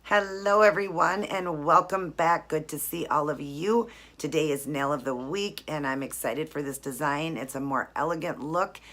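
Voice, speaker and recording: Raspy low-voiced woman vlogging nail art. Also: normal speed, few pauses, fairly bright tone. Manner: friendly, chatty, explains each step. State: hoarse from laryngitis. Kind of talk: casual tutorial chat.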